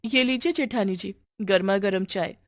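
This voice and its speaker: A woman's voice: mid-range voice, normal speed, noisy background, natural voice. A woman voicing an overworked young daughter-in-law in a cartoon family story, sarcastic and pointed. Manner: grumbling, taunting, pointed.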